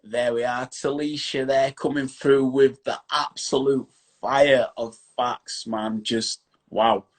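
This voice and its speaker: A man in his thirties with a broad Yorkshire accent and a husky, breathy voice, talking into his phone's front camera in a small room. He hosts a casual live chat, upbeat and effusive, with easy colloquial warmth in his phrasing. His voice sits in an ordinary middle register. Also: medium pitch, steady tone, slow pace. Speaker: host. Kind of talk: casual live-stream interview.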